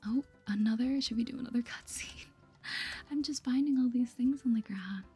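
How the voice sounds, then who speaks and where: A woman speaking softly in a breathy whisper, chatting through an ASMR game playthrough. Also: fairly high pitch. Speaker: gaming video host. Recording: soft music behind the voice. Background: music.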